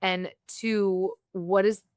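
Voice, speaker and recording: A woman from the United States hosting an interview, talking in a candid, reflective way with quick bursts. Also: mid-range voice, flat delivery, darkish tone, no room sound, studio quality. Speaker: podcast host. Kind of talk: conversational interview.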